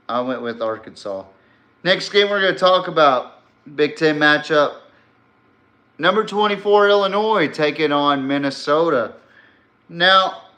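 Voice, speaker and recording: A man's voice: fairly high pitch, pushed and bright. An American man hosting a podcast, giving casual, opinionated sports commentary in an informal way.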